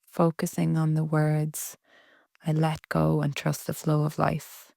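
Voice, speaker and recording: A woman leading a guided relaxation meditation in a soft, breathy, soothing way with long pauses. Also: low-pitched, monotone, dry recording, clean studio sound. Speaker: yoga nidra meditation guide.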